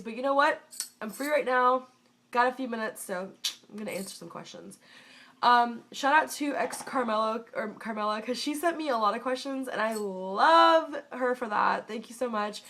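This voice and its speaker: A woman's voice: fairly high pitch, a bit bright. A woman in her twenties from the United States, stuffy from a cold, talking bluntly and casually and swearing freely in a vlog. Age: 20s. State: congested from a cold. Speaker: vlogger.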